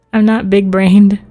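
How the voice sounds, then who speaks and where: A woman's voice: mid-range voice, dark tone. A woman livestreamer chatting casually with viewers as she plays a video game. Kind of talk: casual livestream chat with viewers while playing.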